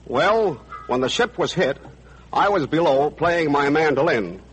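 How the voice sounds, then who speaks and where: Male seaman, scripted wartime radio guest, slightly high pitch, roughened tone. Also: lively intonation, a little slow, low-quality recording. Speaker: merchant marine chief steward. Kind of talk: scripted 1940s radio interview answers.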